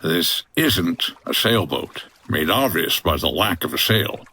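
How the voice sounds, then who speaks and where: An actor playing a gruff, wry 1950s boat skipper with a deadpan manner in a comedy adventure audio drama. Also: male voice, sits low, unhurried, dry recording, heavily acted. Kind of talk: old-time-radio-style comedy adventure acting.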